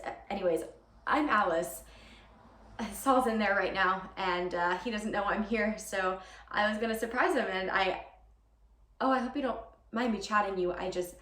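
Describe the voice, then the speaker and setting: A woman's voice: medium pitch, fairly bright tone, close to an everyday voice. Woman acting a nervous, chatty girlfriend, roomy sound. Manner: rambling, self-correcting, nervously laughing. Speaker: actor performing a monologue. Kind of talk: theatrical comic-dramatic monologue. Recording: roomy, distant mic with background hiss.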